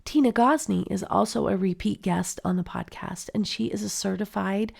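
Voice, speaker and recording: Woman, middle-aged, stuffy from a cold, gravelly edges, encouraging podcast host reading announcements. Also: mid-range voice, normal speed, darkish tone. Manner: upbeat, encouraging. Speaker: podcast host and life coach. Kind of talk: scripted podcast intro and announcements.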